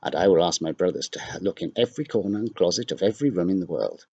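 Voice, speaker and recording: A man's voice: deep voice, lively intonation, fast, a little mellow, husky, lo-fi sound, full character voice. A man voicing an earnest, helpful little mouse in a storybook.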